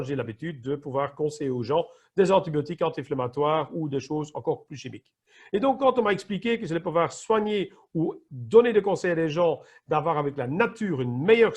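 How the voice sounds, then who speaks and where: A middle-aged man with a Flemish accent gives an explanatory training talk, now and then hunting for the right word. His voice sits a little high and has a husky, breathy edge. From Flanders, Belgium. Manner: explanatory, searches for words at times. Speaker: emergency nurse and wellness trainer. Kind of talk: online training presentation.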